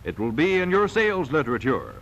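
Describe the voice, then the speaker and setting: Animated, high-pitched 1940s promotional film announcer, male. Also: brisk, muffled recording. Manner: emphatic, polished salesman delivery. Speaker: narrator of a car sales training film. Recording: vintage 1940 film soundtrack.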